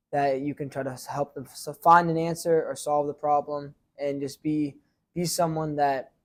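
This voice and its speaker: A teenage boy and high school goalie answering interview questions casually, rambling with many fillers and restarts. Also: a little mellow. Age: teens.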